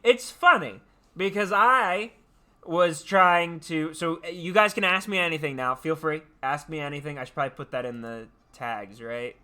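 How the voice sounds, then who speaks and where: A man hosting a livestream, sounding tired yet animated, chatting casually and haltingly as he repeats his phrases. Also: a little high, clear, resonant tone. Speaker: livestream host. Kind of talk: casual rambling chat to viewers.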